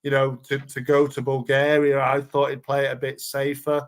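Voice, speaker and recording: A man's voice: medium pitch, monotone, normal speed. A man co-hosting a casual football podcast, rambling along with repeated words and fillers. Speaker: podcast co-host.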